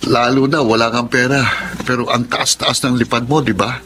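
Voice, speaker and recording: A man's voice: medium pitch, level tone, normal speed, background noise, close to an everyday voice. A man playing a cocky young hustler in a Filipino radio drama, teasing and persuasive, with a Filipino accent. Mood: sly, coaxing. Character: cocky young male hustler tempting with money.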